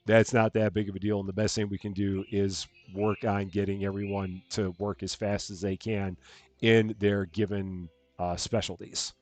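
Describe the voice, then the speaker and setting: A man with a calm, soothing voice, casually talking through a game on a live stream and thinking aloud as he plays. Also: on the low side, normal speed, soft background music. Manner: soft-spoken, rambling, thinking aloud.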